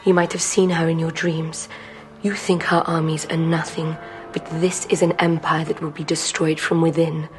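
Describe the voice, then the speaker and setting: A woman's voice: deep voice, little pitch movement, soft background music, natural voice. A woman playing a defiant, dying prisoner in an audio drama, speaking cryptically and taunting her interrogator. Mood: ominous. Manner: slow, cryptic, breathy whispering.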